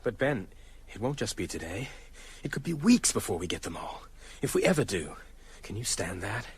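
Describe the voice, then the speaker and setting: Earnest vampire hunter, lively pitch. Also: male voice, moderate pace, husky, dry recording, exaggerated voice. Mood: bleak, pressing. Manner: urgent, reasoning, emphatic. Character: earnest, anxious male friend turned methodical vampire hunter. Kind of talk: audio drama acting.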